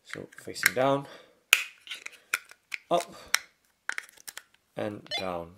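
A man with a low, creaky voice reviews a product hands-on. He talks very slowly and casually, going step by step in short, halting phrases. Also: lively intonation, very noisy. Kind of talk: casual unboxing and hands-on demonstration.

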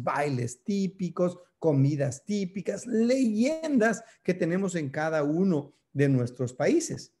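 A man's voice: a little high, mellow. A man in his fifties from Ecuador, preaching an earnest sermon to a webcam from an office, gesturing as he speaks. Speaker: pastor. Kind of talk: sermon over video. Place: office indoors. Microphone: webcam close up.

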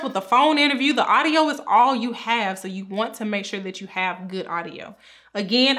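A woman in her thirties, a pharmacist, giving upbeat, clear career advice straight to camera from a small room at home. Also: medium pitch, fairly bright tone. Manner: upbeat, clear, instructive, talks with her hands. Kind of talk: direct-to-camera advice talk. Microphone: camera framed at chest height.

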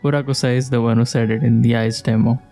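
A woman's voice: a bass voice, fairly even intonation, quick pace, dark tone. A woman giving casual, giggly playthrough commentary, reacting aloud to a video game. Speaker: gaming streamer. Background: game music.

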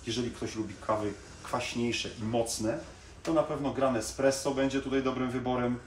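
A middle-pitched man talks casually as he walks a viewer through a coffee showroom, stopping for small hesitations. His voice bounces around a reflective room, with a faint hum of room noise behind him. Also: fairly even intonation, normal speed, roomy sound. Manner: chatty, pointing at shelves while talking, small hesitations. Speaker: coffee shop salesman. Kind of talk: casual product presentation to camera. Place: coffee machine showroom, indoors.

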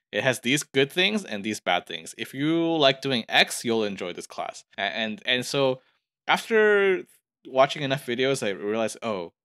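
A man's voice: medium pitch, a bit bright, dry recording, studio quality. A man hosting a gaming podcast, talking casually in a conversational discussion. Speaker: podcast host.

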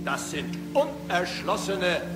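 A man's voice: sits high, big pitch swings, slow pace, over loud music, heavily acted. An actor playing a pompous male school principal giving a declaiming speech in a radio drama.

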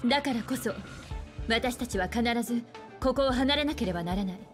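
A woman's voice: on the high side, animated, fairly quick, music in the background, lightly acted. An actress playing a composed, assured woman who mentors a space crew in a Japanese anime game.

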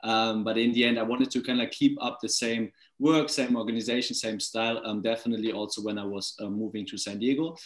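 A man in his twenties from Germany with a German accent, speaking as a guest on a video call, relaxed with many fillers and restarts. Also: fairly low pitch, a little fast, hardly any pauses, roomy sound. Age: 20s. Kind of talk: casual video call talk. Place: small indoor room at home. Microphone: laptop webcam on video call.

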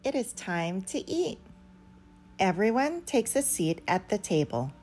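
A woman's voice: mid-range voice, sing-song, measured pace, darkish tone. A woman reading a picture book aloud expressively for young children, as a storyteller. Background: background music.

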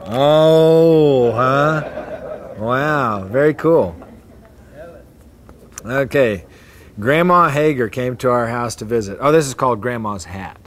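A man's voice: mid-range voice, slow, pauses often. Man reading family stories aloud. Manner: reads plainly, breaks off to chuckle and comment. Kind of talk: casual reading aloud to relatives. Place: hotel atrium at a family reunion.

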